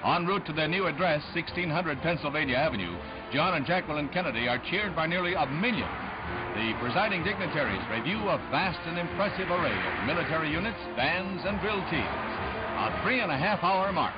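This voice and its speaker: A male American newsreel narrator of the 1960s with a high-set, declamatory voice. His formal scripted delivery rides over loud music on a dull, band-limited vintage soundtrack. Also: expressive, a little fast.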